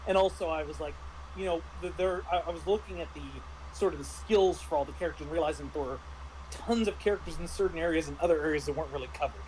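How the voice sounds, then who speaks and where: A man in a casual discussion as a game master, speaking haltingly and often restarting his phrases. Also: on the high side, a little mellow, slight background noise, poor audio. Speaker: game master reviewing a tabletop RPG.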